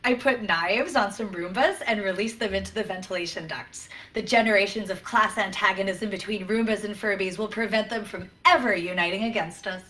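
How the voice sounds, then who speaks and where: A woman's voice: medium pitch, a little fast, hardly any pauses, lightly acted, low-quality recording. A woman playing an eager, quirky, chatty starship science officer in a sci-fi comedy play. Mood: cheerfully earnest. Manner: excitable, chatty.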